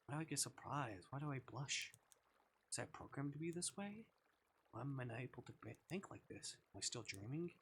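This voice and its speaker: Bright-voiced man reading a game aloud. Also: medium pitch, expressive, brisk, a little hiss or hum, low-quality recording. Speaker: live streamer reading a visual novel aloud.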